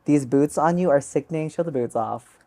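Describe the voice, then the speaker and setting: A woman guest in a casual interview, animated and self-mocking as she jokes. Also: deep voice, a little fast, faint music behind. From United States.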